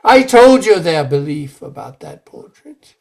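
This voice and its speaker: An actor playing a solemn village preacher in an old-time radio drama, speaking in a grave, measured, formal way. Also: male voice, on the high side, very animated, creaky, darkish tone, exaggerated voice.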